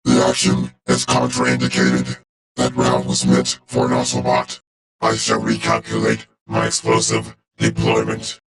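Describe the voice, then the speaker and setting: A man voicing a cold, loyal robot warrior for a video game, speaking in a clipped, formal, deadpan way through a robotic filter. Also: medium pitch, gravelly, full character voice. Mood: formal, commanding. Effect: robotic vocoder filter.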